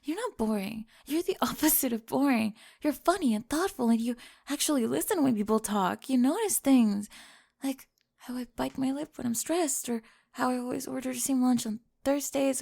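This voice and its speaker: Casting: adult woman as teen cheerleader bully gone vulnerable, high creaky voice, breathy near-whisper, emotional ASMR roleplay confession. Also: moderate pace, a little mellow. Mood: remorseful, vulnerable. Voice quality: breathy, often dropping to a whisper. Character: high school cheerleader bully, remorseful, vulnerable, lovestruck teenage girl. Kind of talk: ASMR romantic roleplay monologue.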